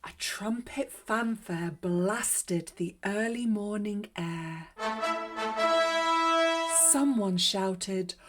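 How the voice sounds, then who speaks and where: A woman reading a children's story aloud in a measured, expressive way. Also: mid-range voice, faint music behind. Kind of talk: scripted storybook narration.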